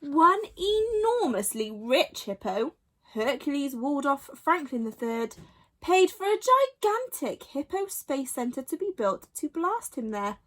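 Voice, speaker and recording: A woman reading a children's bedtime story expressively, with dramatic emphasis and pauses. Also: sits high, big pitch swings.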